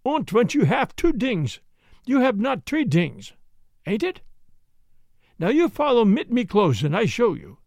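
A man's voice: sits high, wildly swooping pitch, unhurried, creaky, long pauses, a little mellow, dry recording, studio quality, heavily acted. A man voicing a stubborn, boastful male prisoner with a heavy German accent and broken English. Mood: scheming. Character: wild defiant male prisoner, stubborn and blustering.

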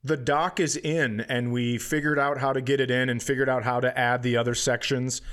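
A middle-aged man with a mid-pitched voice chats casually as a podcast co-host. He spins comic anecdotes, swears freely and acts out bits of dialogue as he goes. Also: moderate pace. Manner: profane, animated anecdotes with acted-out quotes. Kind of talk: casual comic storytelling chat.

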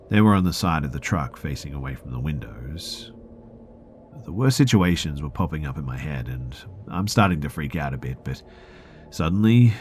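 A man with a deep voice narrating first-person scary stories for a podcast, often dropping to a hush. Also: slight background noise. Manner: hushed, slipping into whispers at tense moments.

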